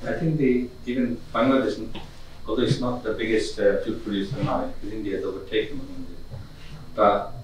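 A man's voice: lowish voice, steady tone, a little fast. Hesitant man lecturing in an echoey room. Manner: hesitant, many fillers and restarts. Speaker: guest lecturer. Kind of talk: public talk with audience discussion. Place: community conference room with audience. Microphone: far camera. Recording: echoey room from far off, faint hiss.

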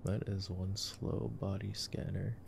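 Young guy doing sleepy asmr movie chat, low voice full of heavy vocal fry, faint noise behind him. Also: level tone, slow pace. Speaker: ASMR boyfriend roleplayer commenting on a film. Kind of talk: casual ASMR movie commentary.

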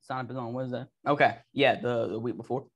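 A man's voice: mid-range voice, fairly even intonation, fast. Young man hosting a casual podcast. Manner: upbeat, friendly, hesitant fillers. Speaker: podcast host. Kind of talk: casual interview.

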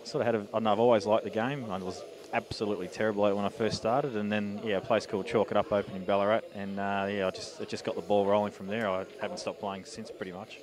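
A man's voice: on the low side, flat delivery, fast talker, hardly any pauses, a crowd in the background. An Australian man holding a handheld mic in a pool hall, answering interview questions with hesitant, rambling sentences. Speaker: pool player.

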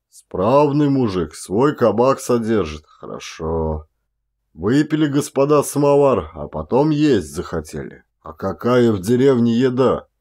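A man's voice: lowish voice, a little mellow. A man voicing a garrulous, indignant Siberian peasant in an audiobook, with folksy, exclamatory, rambling talk. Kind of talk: one-actor audiobook character acting.

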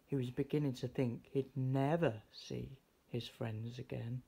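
A man reading a children's picture book aloud in an expressive storytelling style. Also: medium pitch, measured pace, vocal fry, husky. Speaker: storybook reader.

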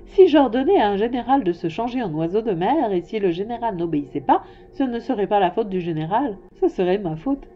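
Woman playing a loud, pompous storybook king. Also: mid-range voice, lively intonation, fairly quick, few pauses, lo-fi sound, a little hiss or hum, close to an everyday voice. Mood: majestic. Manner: pompous, commanding, majestic. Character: pompous old-fashioned male king, absolute but kindly monarch.

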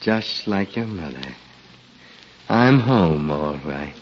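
A man's voice: low voice, expressive, takes it slowly. An actor in a 1940s radio drama playing a lazy, wry old father who drawls dryly. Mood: wry, resigned. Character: lazy old father waking from twenty-year sleep, henpecked, wry. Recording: muffled old 1940s radio recording, faint noise.

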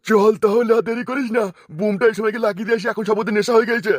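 A man's voice: sits high, steady tone, rapid-fire, dry recording, studio quality. A man voicing a brash, crude, bossy cartoon schemer. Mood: bossy, eager. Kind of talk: comic cartoon dubbing.

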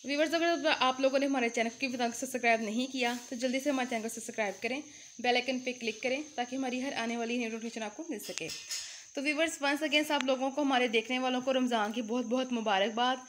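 A young woman from Pakistan hosts a casual home vlog, her voice pitched high and bright. She chats on freely, addressing viewers directly and filling pauses with small words. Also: steady tone, a little hiss or hum. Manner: chatty, talks straight to viewers, many fillers. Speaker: channel host.

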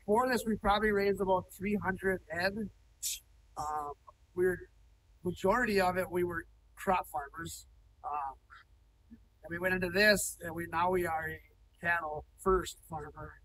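A man's voice: sits high, fairly even intonation, unhurried, pauses often, fairly bright tone, recorded from a distance, slight background noise, rough recording. A man in his thirties, a cattle farmer talking plainly in an on-site interview in a large barn, with frequent ums and restarts. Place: indoor cattle barn, large, open-sided.

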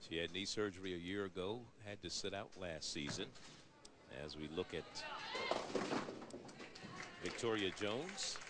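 A man's voice: deep voice, a little slow, pauses often. An American man calling a sports event as a play-by-play announcer. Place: bowling centre during televised championship. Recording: broadcast over loud venue noise. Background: loud bowling venue ambience.

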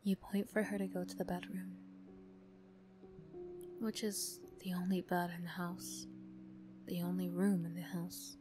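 Hushed woman narrating an ASMR story. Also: fairly low pitch, little pitch movement, measured pace, pauses often, over music. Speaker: narrator of an audio roleplay.

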